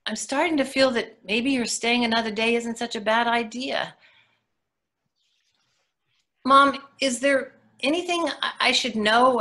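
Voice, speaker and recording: A woman acting a bright-voiced, sardonic frazzled mother. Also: on the high side. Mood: softening. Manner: sardonic, expressive. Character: frazzled, sardonic new mother, sometimes mimicking her own mother. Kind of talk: dramatic monologue reading for readers theatre. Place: remote reading over video call. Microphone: video call.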